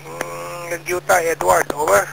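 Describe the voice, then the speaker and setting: A man's voice: sits high, flat delivery, slow, gravelly. A man with a Filipino accent chatting casually as an amateur radio operator over a noisy, clicking link. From Philippines, Pampanga region.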